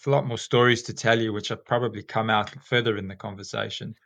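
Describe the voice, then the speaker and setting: A man in his forties with a South African accent, a school teacher talking casually as a podcast guest and often pausing with ums and restarts. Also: on the low side, fairly even intonation, creaky, a bit bright, dry recording. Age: 40s. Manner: rambling, many ums and restarts.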